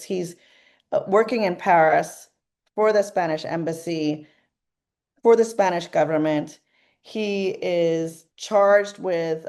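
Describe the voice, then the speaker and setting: A woman's voice: fairly low pitch, a little mellow. A woman co-presenting a lecture in an informal, explanatory academic style.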